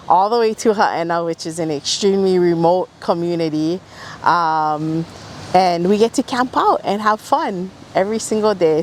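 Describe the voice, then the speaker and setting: Lower-voiced woman explaining a program. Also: slight background noise. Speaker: internship program organizer.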